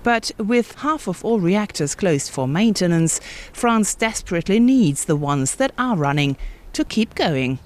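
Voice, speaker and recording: A woman's voice: mid-range voice, lively intonation, unhurried. A woman working as a news reporter, narrating a scripted broadcast report.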